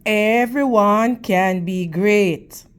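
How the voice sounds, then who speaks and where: A woman reading a picture book aloud to children in a teacherly manner, with deliberate, emphatic phrasing and questions for her young listeners. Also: mid-range voice, clean tone. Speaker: read-aloud storytime host for children.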